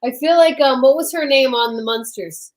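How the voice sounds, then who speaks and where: High-voiced, playful woman co-host. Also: moderate pace. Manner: playful, excitable, repeats phrases for emphasis. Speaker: co-host of a comedy live stream. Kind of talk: casual live-stream banter.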